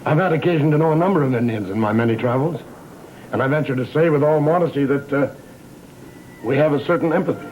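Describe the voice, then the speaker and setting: An actor playing an elderly, self-assured, well-travelled gentleman in a gothic television soap, speaking formally and courteously. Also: fairly high pitch, quick pace, slight background noise, close to an everyday voice. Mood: courtly. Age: older. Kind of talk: gothic TV soap opera acting. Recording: muffled old TV soundtrack with music.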